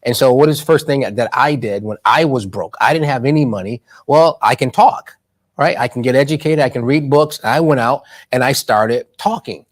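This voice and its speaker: A man's voice: medium pitch, normal speed. A man speaking as a guest in an interview about money, talking in an emphatic, motivational way with a preacher-like cadence and rhetorical questions.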